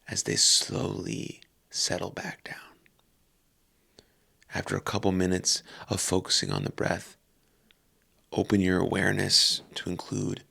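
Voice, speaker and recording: A man leading a guided meditation calmly and unhurriedly, in short phrases with long pauses. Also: low voice, gravelly, husky.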